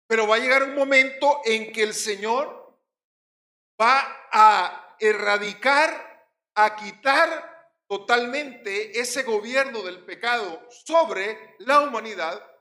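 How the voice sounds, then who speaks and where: A male pastor with a Puerto Rican accent preaching a sermon, swinging between loud stressed words and quiet drops. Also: sits high, roomy sound.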